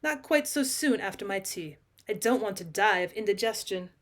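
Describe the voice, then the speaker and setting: A woman's voice: mid-range voice, animated, moderate pace, close to an everyday voice. A woman voicing a coldly sardonic talking male cat in a storybook reading, haughty, unruffled and cutting. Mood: frigid politeness. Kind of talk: audiobook character voice, British classic short story.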